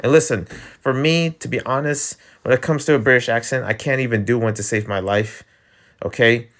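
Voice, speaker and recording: East coast dude ranting on his vlog, rambly, stumbles over words a lot, mid-pitched voice. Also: a little fast, a bit bright. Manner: rambling, stammering restarts, frequent laughs. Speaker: channel host. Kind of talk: casual rant vlog.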